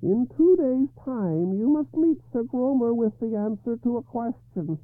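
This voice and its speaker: A man voicing a grotesque hag with a harsh, croaking voice, speaking slowly and coolly. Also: extremely high pitch, lively intonation, no room sound, narrow, tinny audio, pitched above the performer's own voice. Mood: cold. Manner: cool, certain, slow.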